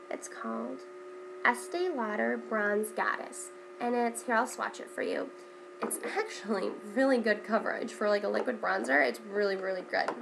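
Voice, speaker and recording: A young woman talks through her everyday makeup routine in a loose, chatty way, her voice stuffy from a cold. She sits slightly high in pitch with a gravelly fry at the ends of phrases, and a faint hiss of noise runs under the home recording. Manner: chatty, with many ums and repeated words. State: congested from a cold. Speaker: beauty vlogger. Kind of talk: casual makeup tutorial chat.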